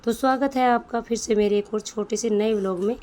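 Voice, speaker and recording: A woman's voice: fairly high pitch, fairly even intonation, fairly quick, mellow. Chatty woman vlogger with a sore throat. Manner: chatty, homely, addresses viewers directly. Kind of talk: casual home vlog voice-over.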